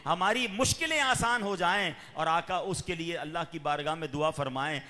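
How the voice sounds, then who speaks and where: A man preaching a sermon, fervent, with raised peaks and slow drawn-out chanting. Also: on the high side, bright, forceful tone. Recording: long hall reverb.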